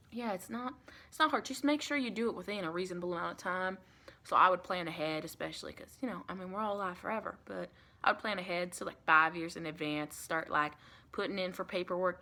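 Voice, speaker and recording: Friendly female vampire mentor, acted by a woman, ASMR roleplay. Bright-toned, soft-spoken, folksy, colloquial and reassuring. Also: fairly low pitch. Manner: chatty, reassuring, colloquial, rambling. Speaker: ASMR performer.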